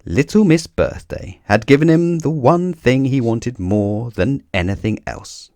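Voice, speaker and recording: A man reading a children's story aloud in an expressive narrating style. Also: mid-range voice, measured pace, dry recording.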